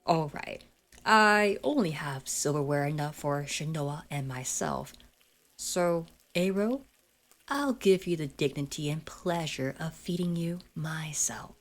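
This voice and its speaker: A woman's voice: low-pitched, lively intonation, slow, full character voice. A woman playing a haughty, sadistic school bully in an ASMR roleplay, with a formal, condescending and taunting manner. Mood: condescending, commanding.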